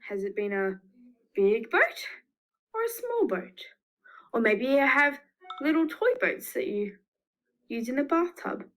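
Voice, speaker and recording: Slow, higher-pitched Australian woman reading stories. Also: low-quality recording. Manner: expressive, emphatic repetition with sound effects. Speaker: children's storyteller. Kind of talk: picture-book read-aloud for young children.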